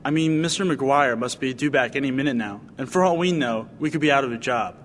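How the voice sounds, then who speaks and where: An actor playing a young, easily distracted trainee cinema usher, informal and a little hesitant, in an audio drama. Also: male voice, medium pitch, a little fast, a bit bright, natural voice. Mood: apologetic, anxious. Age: young adult. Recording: reverberant hall, faint background noise.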